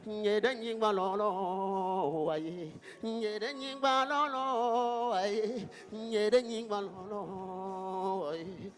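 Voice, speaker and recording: High-voiced man chanting slowly over music. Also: a bit bright. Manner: rhythmic, chanted repetition. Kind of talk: spoken-word performance over music.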